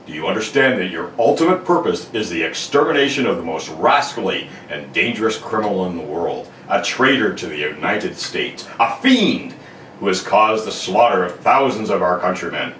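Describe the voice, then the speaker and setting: A man's voice: low voice, animated, normal speed, fairly bright tone. A man playing a solemn army general with grave orders in an old-time radio drama. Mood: stern, solemn. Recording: roomy, distant mic with faint noise.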